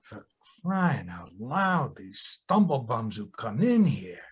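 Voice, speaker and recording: An actor playing a world-weary, excitable bartender in a noir audio drama, grumbling in a folksy way. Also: male voice, mid-range voice, takes it slowly, a little hiss or hum.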